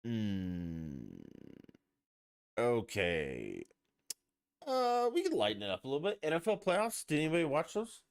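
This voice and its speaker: A man's voice: medium pitch, huge pitch swings, a little slow, long pauses, smooth. A man hosting a podcast on his own, rambling and ranting with plenty of swearing. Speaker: podcast host. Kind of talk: casual solo podcast chat.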